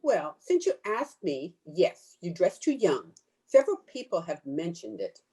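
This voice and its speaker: Blunt, disapproving cousin, animated mellow voice, cutting radio-drama delivery, female actor. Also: lowish voice, a little slow, husky, heavily acted, low-quality recording. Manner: prim, cutting. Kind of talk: old-time radio drama acting. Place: at home, recorded over video call.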